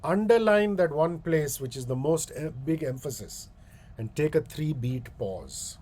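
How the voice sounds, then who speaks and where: Slow, booming man with a deep, mellow tone. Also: lively intonation, a little hiss or hum. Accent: Indian English. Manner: slow, deliberate delivery with long pauses and repeated demonstrations. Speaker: guest speaker. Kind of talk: public speaking workshop talk.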